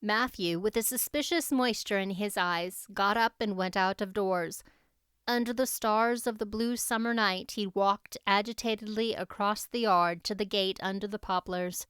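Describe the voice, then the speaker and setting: A woman's voice: medium pitch, normal speed, fairly bright tone, clear, resonant tone, no room sound, clean studio sound. A woman reading scripted storybook narration as an audiobook narrator.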